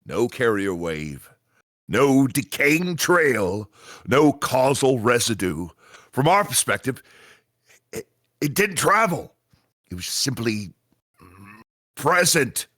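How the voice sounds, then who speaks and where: A man playing a grave commanding agent in a sci-fi radio drama, briefing with deliberate, dramatic emphasis and pauses. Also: mid-range voice, big pitch swings, a bit bright, no echo, studio quality, heavily acted. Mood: tense, awed. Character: grave male commanding agent of a multiverse agency.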